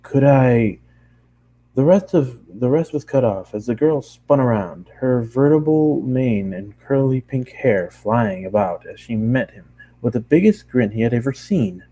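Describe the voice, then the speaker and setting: A man's voice: mid-range voice, lively intonation, moderate pace, dark tone, low-quality recording. A man narrating a story aloud in an amateur reading, now and then stumbling and correcting himself. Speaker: amateur fan fiction reader.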